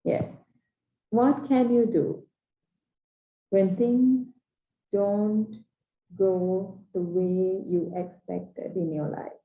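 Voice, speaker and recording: A woman from Sri Lanka with a Sri Lankan English accent, giving an earnest, measured coaching talk in short, paused phrases. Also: mid-range voice, steady tone. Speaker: transformational coach. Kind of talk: slow reflective training talk. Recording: dull echoey room recording.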